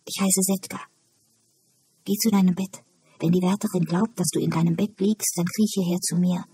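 A narrator voicing a calm, knowing woman asylum patient who muses and explains in an audiobook. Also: medium pitch, moderate pace, slightly rough, dry recording, rough recording. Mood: secretive.

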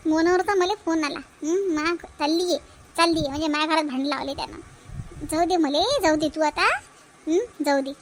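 A woman's voice: high-pitched, lightly acted. A woman playing a scheming older cartoon mother with a Varhadi Marathi accent, goading emphatically, over faint noise. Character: vengeful, scheming older mother inciting her daughter. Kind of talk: cartoon family drama voice acting.

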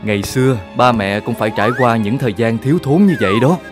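A man's voice: medium pitch, lively intonation, fast, over music, lightly acted. A man voicing a patient, earnest animal father who explains things in a fatherly cartoon style. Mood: reflective. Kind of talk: animated children's cartoon dialogue.

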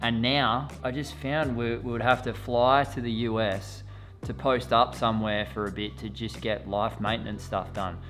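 A man's voice: medium pitch, fairly even intonation, normal speed, a bit bright, faint music behind. A man, chatting animatedly to camera as a vlogger. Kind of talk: casual talk to camera. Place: poolside villa outdoors, then living room.

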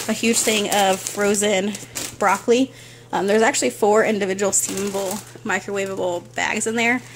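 A woman's voice: mid-range voice, a little hiss or hum. Chatty young woman listing groceries. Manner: chatty, listing items one after another. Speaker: vlogger. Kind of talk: casual grocery haul walkthrough. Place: home kitchen, indoors.

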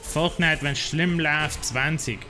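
A man's voice: on the high side, steady tone, a little slow. A man with a South Tyrolean German accent, rambling and complaining in casual chat while streaming a game. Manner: rambling, complaining, many fillers. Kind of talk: casual gameplay livestream chat.

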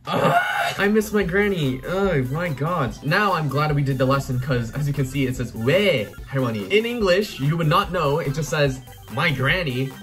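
A man's voice: on the high side, normal speed, few pauses, a bit bright, soft background music. A man in his twenties, from South Korea, chatting animatedly and exclaiming at his own mistakes while he works through a language-learning app. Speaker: YouTuber teaching Korean. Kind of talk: casual commentary while playing a language app. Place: small room indoors at night.

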